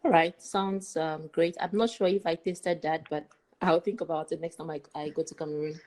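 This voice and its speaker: A woman's voice: deep voice, steady tone, moderate pace, a little mellow. A woman presenting and interviewing as the host of a podcast. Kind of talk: scripted podcast introduction and interviewing.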